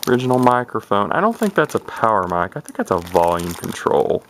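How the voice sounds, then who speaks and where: Low-voiced, gravelly man rambling casually. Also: sing-song, a little fast, a bit bright, slight background noise. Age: 30s. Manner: rambling, folksy, thinking aloud. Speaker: vintage CB radio collector showing an unboxing. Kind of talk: casual unscripted product commentary. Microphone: handheld camera's built-in microphone.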